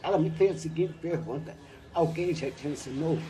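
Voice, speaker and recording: An older woman, a retired teacher, recounting her school days in a relaxed interview on a home patio. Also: low voice, moderate pace, background noise. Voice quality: lively, animated storytelling with laughs. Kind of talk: reminiscing interview. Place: covered outdoor patio at a home.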